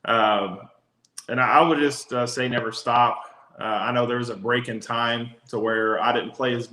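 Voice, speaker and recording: A raspy-voiced man, a country singer, talks easily as a guest, with lots of fillers. His voice has a bright, forceful edge, and it rings in an echoey room. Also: mid-range voice, fairly even intonation, moderate pace. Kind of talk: casual interview chat.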